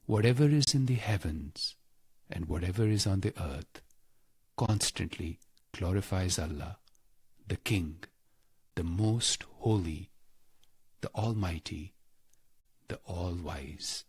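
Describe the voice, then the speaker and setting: A man leading a scripted spiritual guided meditation in a calm, measured, reverent manner with deliberate pauses. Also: deep voice, breathy edge, no room sound.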